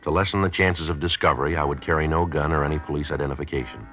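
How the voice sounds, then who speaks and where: A man's voice: a bass voice, fairly even intonation, racing, fairly bright tone. An actor playing a stoic police sergeant who narrates his case in a clipped, matter-of-fact radio drama style. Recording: dull vintage radio recording, faint music.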